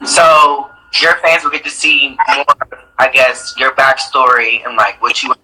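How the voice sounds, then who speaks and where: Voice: mid-range voice, unhurried, sharp tone, slight background noise, poor audio. An interviewer in a casual chat, asking curious and teasing questions.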